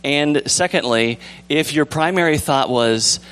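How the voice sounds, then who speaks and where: A young man with a calm, relaxed voice leading a casual church discussion, rambling gently with fillers and restarts. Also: a little high, unhurried, smooth. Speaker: church group leader. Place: church sanctuary with pews.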